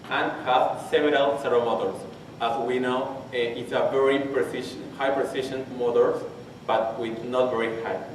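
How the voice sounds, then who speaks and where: A man in his twenties from Peru with a Spanish accent, his first language, giving a technical conference talk and haltingly restarting phrases as he searches for English words. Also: medium pitch. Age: 20s. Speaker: conference presenter. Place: large conference hall. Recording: very echoey hall, distant mic, faint hiss.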